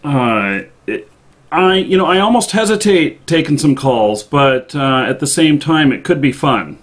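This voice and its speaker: A man hosting a livestream in casual chat, with a halting, hesitant delivery. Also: on the high side, lively intonation, fairly bright tone. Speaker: live show host.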